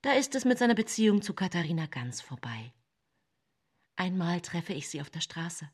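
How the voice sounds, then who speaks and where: An actress playing a calculating, self-pitying poisoner widow who narrates her own tale in a radio drama, with a husky voice. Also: fairly low pitch, very animated, moderate pace, darkish tone, no echo, studio quality. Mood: matter-of-fact.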